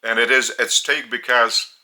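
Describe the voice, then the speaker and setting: A man with a Lithuanian accent giving a spiritual teaching in a deliberate, halting way, with long pauses between phrases. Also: mid-range voice, fairly even intonation, sharp tone, low-quality recording.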